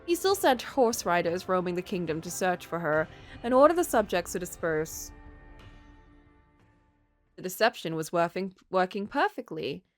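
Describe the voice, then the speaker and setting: A woman streamer reading a story game aloud, mixing expressive narration with casual asides. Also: fairly low pitch, moderate pace, faint music behind.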